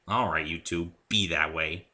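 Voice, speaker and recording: A man's voice: low-pitched, a little slow, sharp tone, heavily acted. A man playing a polite, curious, good-humoured human hero in a dramatic story reading, earnest and bantering.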